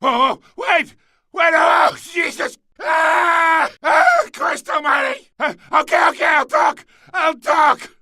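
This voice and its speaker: A man playing a desperate, panicky criminal in a video game, frantic and loud, with a strained, gravelly voice. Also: extremely high pitch, expressive, slow, no room sound, clean studio sound, full character voice. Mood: terrified. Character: panicky, desperate male criminal under threat.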